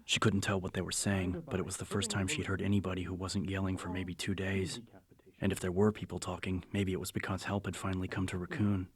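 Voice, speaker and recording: A man narrating a scripted horror audiobook in a measured, serious storytelling manner. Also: sits low, flat delivery, crowd noise. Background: music.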